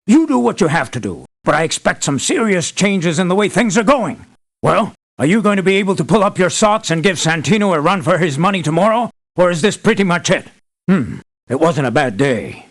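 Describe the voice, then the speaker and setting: Demanding, animated male mercenary boss. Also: on the high side. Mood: measured. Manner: emphatic, articulate, businesslike. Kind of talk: video game character voice lines.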